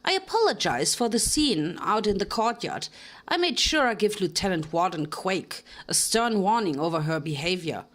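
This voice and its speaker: A woman's voice: mid-range voice, sing-song, normal speed. A jittery mare prison warden, formal and evasive, covering her nerves with polite talk, as a woman reader puts her on. The storybook reading keeps her close to the reader's own voice. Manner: formal and uneasy.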